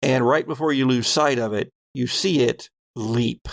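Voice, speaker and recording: A man's voice: medium pitch, measured pace, gravelly, no room sound. A man working as game master, narrating a tabletop horror role-playing game in a conversational, descriptive storytelling way.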